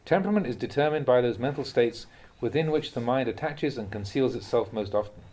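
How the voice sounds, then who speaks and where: A man with a mid-pitched voice reads spiritual teachings in the style of an audiobook. His delivery is measured and instructive, and each phrase is clearly set out. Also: lively intonation, a little mellow. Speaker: reader of translated Buddhist teachings.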